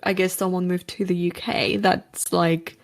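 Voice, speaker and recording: A woman hosting a podcast interview, friendly and upbeat, reacting with quick short affirmations. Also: on the low side, flat delivery. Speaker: podcast host. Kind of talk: casual interview conversation.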